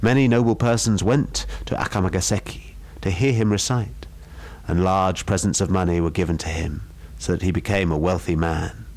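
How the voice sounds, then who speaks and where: A man giving a theatrical, deliberate reading of a horror story as a storyteller. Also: low-pitched, steady tone, a little mellow. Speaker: storyteller, guest performer.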